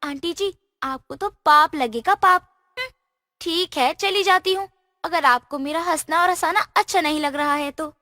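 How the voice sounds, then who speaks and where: A woman's voice: extremely high pitch, normal speed, pushed and bright, no echo, studio quality. A woman voicing a cheeky, playful fairy girl in a cartoon story. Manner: teasing, chirpy, mischievous. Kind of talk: cartoon voice acting.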